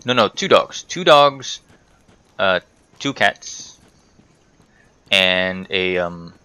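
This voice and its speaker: A man's voice: sits low, lively intonation, very slow, bright, forceful tone, clean tone, dry recording. A man giving casual storytime commentary while playing a game, rambling, correcting himself and muttering swears at the game. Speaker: gaming video host telling stories while playing.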